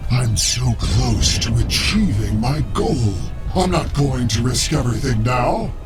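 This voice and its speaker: A man playing a weary, distrustful and powerful artificial being in a video game, speaking in a solemn, measured way. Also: mid-range voice, over loud music, lightly acted. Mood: resolute, threatening. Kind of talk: video game cutscene acting.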